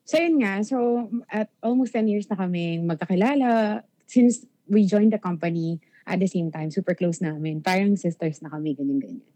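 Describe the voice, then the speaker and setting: A woman's voice: on the low side, brisk, dark tone, dry recording, rough recording. A woman in her early thirties with a Filipino accent, chatting casually and agreeably as a caller to a show. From Philippines. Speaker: caller to a podcast. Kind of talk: casual Taglish chat.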